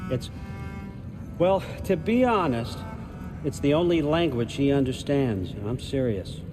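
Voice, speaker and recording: An older god ruler from a video game, acted by a man, with a middling pitch. He is conversational and wry, needling people with a folksy, manipulative friendliness. Prominent music plays behind him. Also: expressive, a little slow, lightly acted. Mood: needling, casual. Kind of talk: naturalistic video game acting.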